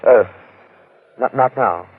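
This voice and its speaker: Intense, brooding recluse; mid-pitched, halting and solemn, narrow-band vintage radio drama recording. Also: male voice, slightly rough. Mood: obsessed, resolute. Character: Lawson, brooding obsessed wealthy recluse in the jungle. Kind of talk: old-time radio drama acting.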